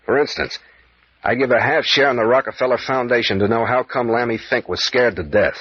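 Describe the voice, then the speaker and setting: Man acting a wry, husky adventurer captain, old radio. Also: medium pitch, brisk, close to an everyday voice. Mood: musing. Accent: American. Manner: needling, interrogating, sardonic. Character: bold, wry, probing male adventurer captain. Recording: muffled, echoey vintage radio recording.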